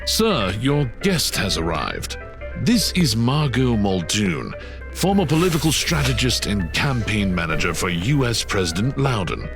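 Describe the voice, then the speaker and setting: An actor playing a formal, dutiful butler who announces ceremoniously in an audio drama. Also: male voice, fairly low pitch, sing-song, measured pace, over music.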